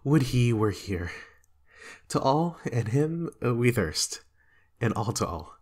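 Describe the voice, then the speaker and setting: A man playing a guilt-haunted, fearful and raging king in a Shakespearean dramatic reading, with a husky voice. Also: medium pitch, sing-song, slow pace, darkish tone. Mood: forced cheer. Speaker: amateur reader in a dramatic reading.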